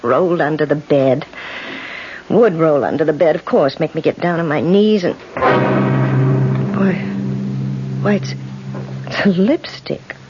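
A woman's voice: sits low, unhurried, pauses often. An actress playing a prim, anxious boarding house landlady, proper and hesitant, in a 1970s radio drama. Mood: puzzled. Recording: dull, noisy old radio broadcast.

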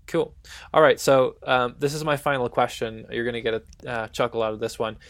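A man with a noticeably creaky, gravelly edge to an average-pitched voice. He talks casually as a podcast co-host, posing football questions in a conversational way. The sound is close and dry. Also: steady tone, a little fast, fairly bright tone, no room sound. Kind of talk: casual football quiz chat.

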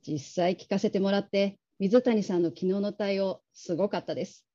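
Woman acting a very mellow, courteous manager. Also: medium pitch, lo-fi sound, natural voice. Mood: earnest, measured. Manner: gentle, measured, courteous. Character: polite, caring, discreet female call-centre manager. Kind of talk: radio drama reading.

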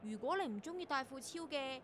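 An actress playing a curious, excitable young female radio intern in a radio drama. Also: high voice, quick pace, crowd noise. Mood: playful. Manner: excitable, teasing, exclamatory. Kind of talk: Cantonese radio drama acting.

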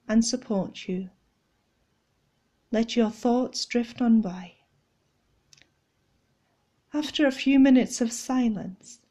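Woman doing guided prayer meditation, really slow, relaxed mellow tone, gentle and measured. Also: a little high.

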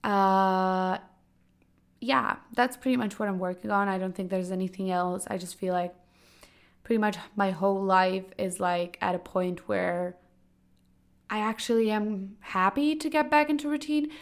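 A young woman in her late teens with a raspy, hoarse voice from a cold, hosting a casual solo podcast in a loose, chatty way. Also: medium pitch, a little slow. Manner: chatty, loose, full of "like" and "kinda". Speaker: podcast host.

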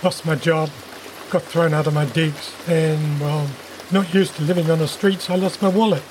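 A woman's voice: sits low, steady tone, normal speed, noisy background, voice pushed down, lightly acted. A woman reading a story, voicing a nervous, thick-set male intruder with a terse, hesitant manner. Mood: ashamed, awkward.

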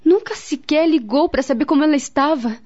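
A woman's voice: high voice, brisk, darkish tone, natural voice. An actress playing a grave, devout woman in a radio drama, speaking solemnly and earnestly with a Brazilian accent. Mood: grave, sorrowful. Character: grave, devout woman friend, counselling and recalling family tragedy. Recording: dull, lo-fi radio drama recording.

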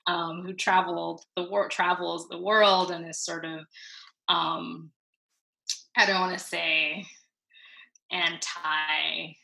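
A woman, talking as an interview guest. Her voice sits fairly low, with a gravelly fry and a bright, forward edge. She talks it through reflectively, pausing and restarting as she goes. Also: little pitch movement, low-quality recording. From New York City. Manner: thoughtful, halting, with many fillers and restarts. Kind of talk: casual reflective conversation.

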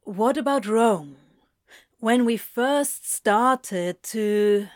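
A woman voicing a tender, insecure male lover who asks earnest, hesitant questions in a hushed audiobook style. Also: medium pitch, slow pace, no room sound, clean studio sound, natural voice. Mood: tentative, searching.

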